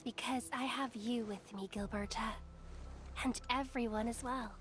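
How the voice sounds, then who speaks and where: A woman playing a calm, reassuring companion in a video game, soft-spoken and composed. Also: a little high, unhurried, slight background noise. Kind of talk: video game story dialogue. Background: music.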